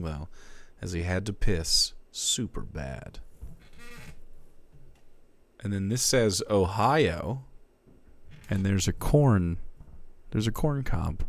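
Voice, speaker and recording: A man streaming, reading fan fiction aloud in a theatrical, comic way, shifting between hushed and loud. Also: low-pitched, gravelly.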